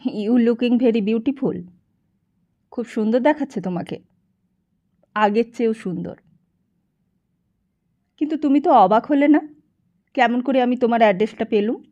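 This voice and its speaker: A woman acts out a worldly, money-hungry businessman from an audiobook novel. He holds forth pompously and slowly, dropping English phrases with smug assurance. The tone is dark and the recording a little dull. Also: fairly high pitch, natural voice. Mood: smooth, insinuating. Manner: pompous monologuing, mixes English into Bengali. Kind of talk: audiobook character dialogue.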